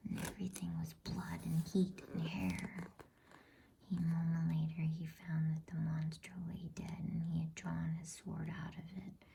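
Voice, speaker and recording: Woman whispering a slow, breathy ASMR bedtime story. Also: low-pitched. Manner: slow, hushed, soothing delivery. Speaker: host reading bedtime stories.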